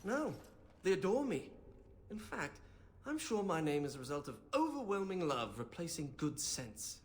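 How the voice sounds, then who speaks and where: A man's voice: fairly high pitch, very animated, normal speed, a little hiss or hum. An actor playing a fussy, bookish young male mage in a video game, earnest and hesitant as he over-explains. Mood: prim, defensive. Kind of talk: video game character acting.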